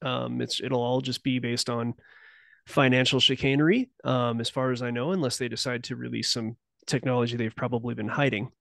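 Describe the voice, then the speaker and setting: A man's voice: medium pitch, level tone, a little fast, gravelly, a bit bright, no room sound, studio quality. A man speaking as a podcast guest in casual chat, rambling and restarting sentences as he speculates. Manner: rambling, self-interrupting, speculative. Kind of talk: casual conversational interview.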